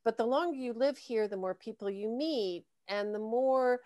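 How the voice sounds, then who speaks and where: American woman, 60s, smooth and clear, mid pitch, dry close sound; reflective, filler-heavy delivery. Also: normal speed, warm tone, dry recording. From United States. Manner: thoughtful, hesitant with many fillers and trailing restarts. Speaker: guest speaker. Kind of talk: conversational Q&A on a video call.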